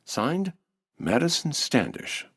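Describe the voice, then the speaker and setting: An actor playing a dry-witted, brisk male boss in an old-time radio detective comedy. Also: on the high side, sing-song, over loud music, full character voice. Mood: businesslike.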